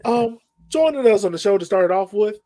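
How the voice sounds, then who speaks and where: A man's voice: high-pitched, lively intonation, fairly quick, mellow, no room sound. A man hosting a sports podcast in a casual, chatty style. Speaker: podcast host.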